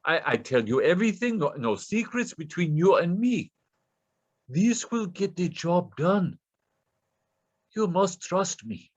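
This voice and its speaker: An actor playing a desperate, nervous Russian scammer with a Russian accent, stammering deferentially in broken English in a play reading. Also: male voice, high-pitched, lively intonation, measured pace, dull audio. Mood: pleading, placating.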